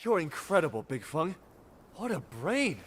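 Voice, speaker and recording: A man's voice: on the high side, very animated, a little slow. A man playing a friendly, inquisitive young wanderer hero in a video game, speaking expressively. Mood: admiring, playful.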